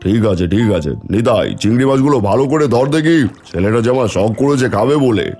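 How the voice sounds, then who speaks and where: A man's voice: medium pitch, animated, quick pace, darkish tone, faint music behind, natural voice. A man playing a commanding village landowner and emotional father in a cartoon folk tale, speaking dramatically. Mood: indulgent. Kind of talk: Bengali cartoon fairy tale dubbing.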